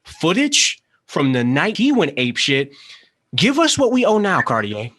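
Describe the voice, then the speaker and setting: A man's voice: on the high side, wildly swooping pitch, measured pace, a bit bright, exaggerated voice. A man acting a hot-tempered, desperate man in a crime drama table read, intense and foul-mouthed. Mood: defiant.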